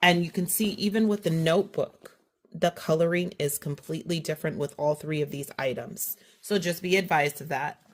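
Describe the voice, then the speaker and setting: A woman with a slightly low voice talks through her purchases in a chatty, detailed way. She has a slight cold and sniffles now and then, and she often stops to correct herself. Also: normal speed. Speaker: haul vlogger. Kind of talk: casual product haul walkthrough.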